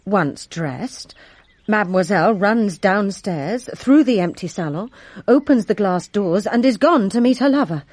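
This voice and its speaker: A woman's voice: mid-range voice, lively intonation, measured pace, a little mellow, husky, dry recording. A woman playing a servant giving formal, deferential testimony in a radio drama, composed yet resentful of a rival. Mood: careful testimony. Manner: formal, deferential, precise storytelling.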